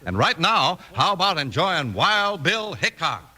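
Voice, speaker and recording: A man's voice: fairly high pitch, very animated, a little slow. An American man announcing in an emphatic, polished 1950s radio style, reading scripted sponsor copy and narration. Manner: emphatic, polished sales and story delivery. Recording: vintage radio broadcast with music. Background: music.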